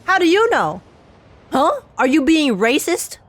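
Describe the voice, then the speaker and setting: A woman's voice: sits high, sing-song, unhurried. A young woman streaming a game, joking sarcastically and laughing often. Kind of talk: casual reaction commentary.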